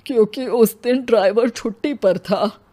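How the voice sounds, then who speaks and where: A heartbroken old village headman , voiced by a woman, speaks high and slow, his words shaking with tears. Each line drags as grief wears him down, in a cartoon horror tale. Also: warm tone. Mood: sorrowful. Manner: slow, sobbing, breaking into weeping. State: crying. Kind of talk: Hindi cartoon voice acting.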